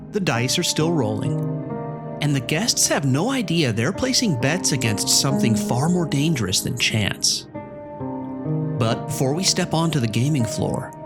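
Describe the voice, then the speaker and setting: A man narrates as the host of a horror broadcast, with a mid-pitched voice. His delivery is measured, scripted and ominous, and music plays loudly behind him. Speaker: host of a horror audio series. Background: jazz piano music.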